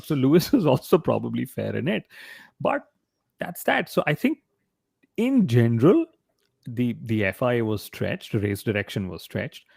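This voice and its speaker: A man's voice: mid-range voice, vocal fry, a little mellow, dry recording, studio quality. A man hosting a motorsport panel discussion, explaining points and putting questions to his co-hosts. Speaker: podcast host.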